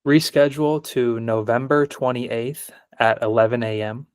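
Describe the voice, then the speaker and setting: A man presenting a live software demo on a video call, speaking in plain short statements and requests. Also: medium pitch, steady tone, moderate pace, smooth, no echo, studio quality.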